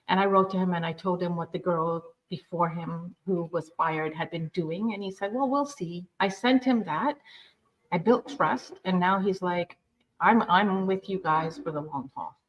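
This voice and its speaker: A woman's voice: on the low side, normal speed. Muffled, earnest woman panelist. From Uganda. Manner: earnest storytelling, lively emphasis. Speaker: nonprofit founder, panelist. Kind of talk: panel discussion. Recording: dull lo-fi sound.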